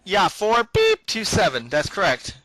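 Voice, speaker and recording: A man putting on a bumbling prank caller who speaks haltingly in broken sentences with interjected beeps. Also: high-pitched, huge pitch swings, bright, forceful tone, full character voice. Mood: muddled, insistent. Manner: halting, broken grammar, interjects the word beep. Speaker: prank caller placing a food order. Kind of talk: improvised prank phone call.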